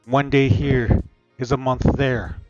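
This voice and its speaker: A man playing a troubled, emotionally confused father in improvised online roleplay, speaking solemnly and haltingly and repeating words. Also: mid-range voice, little pitch movement, a little mellow, no room sound, lightly acted. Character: troubled, emotionally confused older father, long away from family. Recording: dull lo-fi audio, music behind.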